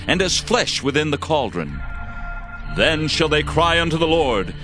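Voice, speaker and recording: A man's voice: fairly high pitch, sing-song, a little slow. A man narrating scripture as a dramatized reading, solemn and oratorical in delivery. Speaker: audio Bible narrator. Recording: music behind the voice.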